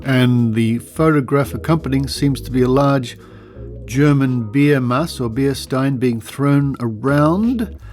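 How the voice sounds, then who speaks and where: A man's voice: medium pitch, slow, a little mellow, faint music behind. An Australian man hosting a podcast, reading aloud with asides and theatrical emphasis. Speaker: podcast host.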